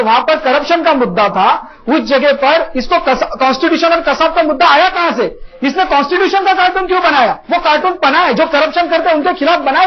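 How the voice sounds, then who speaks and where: A man arguing as a guest in a debate, insistent and halting, often repeating himself. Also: very high-pitched, slight background noise. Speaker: debate guest, complainant in the case. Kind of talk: argumentative debate speech. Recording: dull lo-fi broadcast audio.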